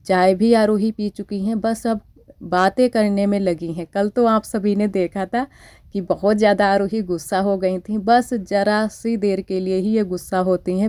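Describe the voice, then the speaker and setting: A woman's voice: mid-range voice, warm tone, no room sound. Chatty village woman with a cold. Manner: chatty, homely, repeats fillers. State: voice thickened from a cold. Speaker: village housewife vlogger. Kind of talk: casual narration over daily chores. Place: village home.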